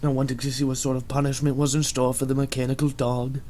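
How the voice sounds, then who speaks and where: A man's voice: mid-range voice, little pitch movement, fast, warm tone. Man narrating a story over audible noise. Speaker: narrator of a fan fiction reading. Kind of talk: dramatic scripted narration.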